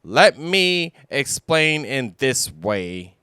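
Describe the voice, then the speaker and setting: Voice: mid-range voice, sing-song, extremely slow, sharp tone, clear, resonant tone, no echo, clean studio sound, heavily acted. A narrator voicing a pompous, learned male owl who explains things, in a children's storybook. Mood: patient explaining.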